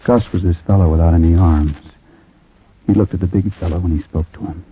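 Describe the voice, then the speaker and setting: A troubled man tells an eerie tale in a low, husky voice. He is reflective and conversational, with small hesitant asides. The sound is a tinny, narrow 1940s radio recording. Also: steady tone, a little fast. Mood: haunted, subdued. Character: troubled man narrating an eerie story about his friends. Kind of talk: 1940s radio drama narration.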